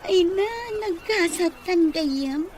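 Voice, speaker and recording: Casting: a conniving girlfriend's confidante, high-pitched, Filipino accent, radio drama. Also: slow, a little mellow. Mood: gleeful, goading. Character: scheming female confidante egging on a friend. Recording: noisy radio drama with ambient sound.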